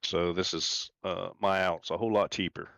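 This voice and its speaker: A man's voice: low-pitched, steady tone, normal speed, vocal fry, fairly bright tone, dry recording, muffled recording. A man in his fifties from Central Florida, a sim racing driver giving a hesitant, polite interview with many fillers. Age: 50s. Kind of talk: casual post-race interview.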